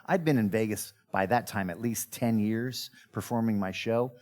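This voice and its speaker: Middle-aged male entertainer, very low voice, chatty interview storyteller. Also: moderate pace. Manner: animated storyteller who acts out quoted dialogue. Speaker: magician guest telling his life story.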